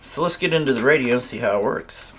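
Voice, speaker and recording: A man's voice: medium pitch, lively intonation, fast, heavy background noise. A man giving a casual hands-on product tutorial, explaining things informally step by step as a reviewer. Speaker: radio reviewer.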